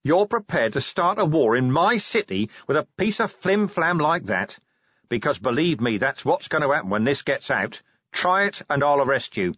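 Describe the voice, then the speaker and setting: Audiobook police commander, middle-aged man, played by the narrator: combative, blunt, sardonic, highly animated delivery. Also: normal speed, dry recording, dull audio. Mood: angry, forceful. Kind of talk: audiobook character acting.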